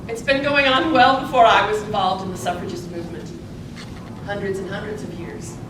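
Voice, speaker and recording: A woman's voice: mid-range voice, steady tone, a little fast, lightly acted. Woman acting elderly suffragist, echoey and distant. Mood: earnest, principled. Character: eighty-six-year-old Montana suffragist woman, grateful, principled, reminiscing. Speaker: actor in a theatre presentation. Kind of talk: theatrical interview and monologue, live stage performance. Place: indoor presentation space at a public library. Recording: echoey, distant, noisy library event recording.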